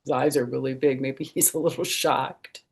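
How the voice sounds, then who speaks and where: A woman's voice: very deep voice, steady tone, normal speed, darkish tone. A woman reading a picture book aloud to children, explaining things and asking her young listeners questions as she goes. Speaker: storytime reader and former first grade teacher.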